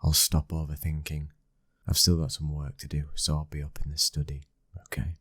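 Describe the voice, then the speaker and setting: A man plays a British mafia boss's son in an intimate audio roleplay. His voice sits extremely low, with a husky grain and little melodic movement. He is a commanding, stern figure and a loving husband. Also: level tone, moderate pace, full character voice. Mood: tender. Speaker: ASMR roleplay voice actor. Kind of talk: intimate ASMR boyfriend roleplay acting.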